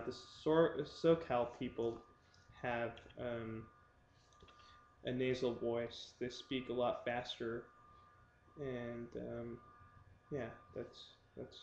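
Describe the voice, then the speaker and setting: A young man talking casually to his viewers in a halting way, with many pauses and fillers. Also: mid-range voice, level tone, a little mellow, roomy sound, slight background noise. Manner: slow, halting, many ums. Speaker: vlogger. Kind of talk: casual talk to camera.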